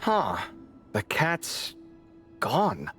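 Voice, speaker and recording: A woman playing a sardonic, inquisitive young adventurer in a video game, with a dry, expressive delivery. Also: deep voice, drawn-out words, faint music behind, heavily acted. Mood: curious. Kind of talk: video game character dialogue.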